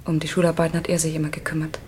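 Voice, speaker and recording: A woman's voice: deep voice, monotone, racing, darkish tone, a little hiss or hum, natural voice. An actress playing a grieving, bitter widowed mother in a German radio crime drama, weary and subdued.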